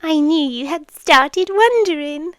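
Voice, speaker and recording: A British woman playing an obsessive, creepily devoted yandere girlfriend in an intimate ASMR roleplay, breathy and often whispering, lingering on short phrases. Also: high-pitched, animated, takes it slowly, background noise. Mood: teasing menace. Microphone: close microphone, dry intimate recording.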